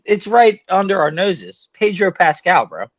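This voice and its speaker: A man's voice: high-pitched, sing-song, measured pace, dull audio. A man on a podcast panel, joking and chatting casually with friends. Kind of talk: casual comic banter.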